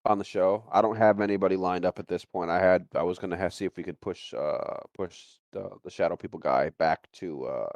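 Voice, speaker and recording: A man's voice: deep voice, a little fast, hardly any pauses, darkish tone, dry recording. A man hosting a casual podcast, talking in a rambling way and circling back over his phrases. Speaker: podcast host.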